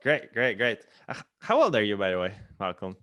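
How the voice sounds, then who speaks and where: A man's voice: on the low side, sing-song, brisk, a bit bright. A man hosting a podcast, asking questions hesitantly with lots of fillers and stumbles. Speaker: podcast host. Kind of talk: casual interview questions.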